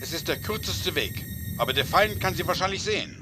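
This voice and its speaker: A calm commanding officer who briefs his agent in a measured, instructive way. His voice sits somewhat high for a man, with clear noise running underneath. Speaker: mission commander. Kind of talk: German game dub. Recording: game audio with background noise.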